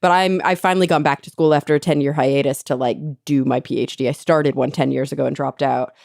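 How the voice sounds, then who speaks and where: Low-voiced chatty woman podcast host. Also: steady tone, quick pace, creaky, a little mellow, no room sound, clean studio sound. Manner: chatty, thinks aloud with many fillers. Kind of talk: casual conversational interview.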